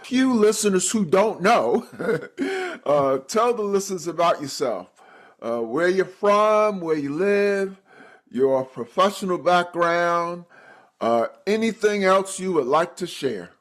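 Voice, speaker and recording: A man's voice: sits high, sing-song, takes it slowly. A man hosting a cruise podcast, reading an enthusiastic intro and then chatting with many fillers. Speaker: podcast host. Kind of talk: interview podcast.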